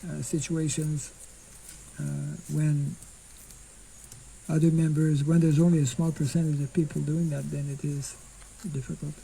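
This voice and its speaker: An older monk giving an informal scripture class outdoors into a stand mic, speaking slowly and haltingly and clearing his throat often. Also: a little high, little pitch movement, dark tone, poor audio. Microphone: stand mic in front.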